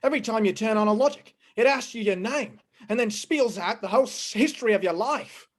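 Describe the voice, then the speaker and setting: A woman playing an exasperated, bullying police sergeant with a gruff manner, in old-time radio style. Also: fairly high pitch, a little fast, natural voice. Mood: exasperated, hectoring.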